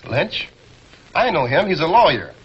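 Snappy reporter, lively high-ish voice, old crime serial, dull soundtrack. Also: male voice, moderate pace. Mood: eager. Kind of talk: 1940s film serial acting. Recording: dull 1940s film soundtrack.

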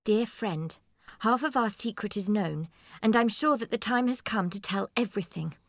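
A woman's voice: mid-range voice, normal speed, dry recording, lightly acted. An actress playing a clever, coy, scheming young woman in a dramatised audiobook. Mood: confiding. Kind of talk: dramatised audiobook character acting.

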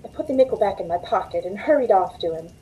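A woman's voice: medium pitch, lively intonation, fast talker, mellow, natural voice, rough recording. A middle-aged woman playing an anxious, hesitant waitress in a 1940s-style radio drama, earnest and pleading. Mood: uneasy recounting. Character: anxious young waitress telling her frightening story. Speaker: actor in a radio play. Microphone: video call from a home room. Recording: faint noise on home video call.

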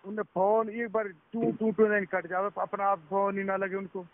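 A man's voice: high voice, flat delivery, normal speed. A man on a phone call, recounting an incident in a repetitive, agitated, casual way. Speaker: phone caller, a driver recounting being scammed. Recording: tinny phone call recording.

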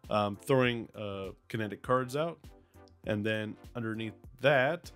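A man with a voice left raspy by a cold, casually unboxing and reviewing a toy figure with plenty of ums and uhs. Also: medium pitch. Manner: relaxed, many ums and uhs.

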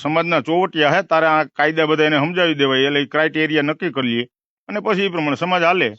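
Insistent fast-talking Gujarati man on phone. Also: on the high side, a bit bright, no echo. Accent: Gujarati, rural Saurashtra. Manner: insistent, repetitive, talks over the other. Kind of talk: heated phone argument. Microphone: telephone. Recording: muffled phone call recording.

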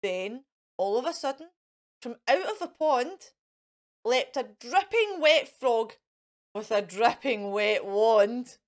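A woman reading a children's storybook aloud in a theatrical, expressive, drawn-out manner. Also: on the high side.

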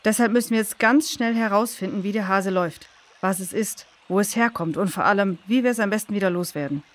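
A woman's voice: mid-range voice, brisk, close to an everyday voice. A woman playing a busy, urgent field researcher in a children's audio drama. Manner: uses folksy idioms.

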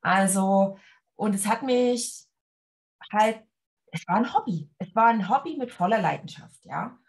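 Woman from leipzig, 40s, sewing teacher chatting over webcam, middle pitch, lots of ähm. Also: a little slow. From Leipzig, Germany. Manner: relaxed, talks with her hands, many fillers. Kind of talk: casual video-call interview. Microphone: webcam on a video call.

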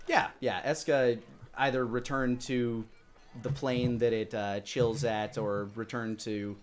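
Casting: male game master, thirties, mid-pitched, halting improvised narration. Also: steady tone. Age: 30s. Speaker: dungeon master, host. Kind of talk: narrating a live-streamed tabletop game.

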